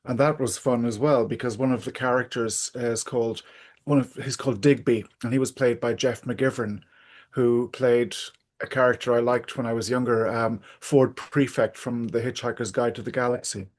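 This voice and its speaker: A middle-aged or older man, talking casually and reflectively as an interview guest, pausing often to think aloud. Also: mid-range voice, steady tone.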